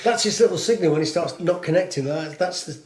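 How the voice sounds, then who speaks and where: Higher-voiced jokey man, roomy echo. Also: fast talker, darkish tone. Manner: jokey, interjecting. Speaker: co-presenter. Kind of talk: casual chat. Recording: echoey room, faint background noise.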